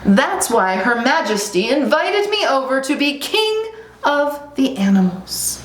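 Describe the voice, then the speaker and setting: A woman's voice: high-pitched, sing-song, unhurried, voice pushed up. A woman voicing a boastful young male lion cub who brags earnestly in a storybook read-aloud. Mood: bragging. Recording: roomy echo, faint background noise.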